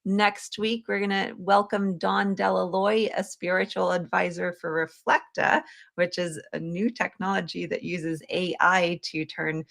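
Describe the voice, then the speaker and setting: Female podcast host, lower-pitched with a creaky edge, casual and conversational. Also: flat delivery, measured pace, a bit bright.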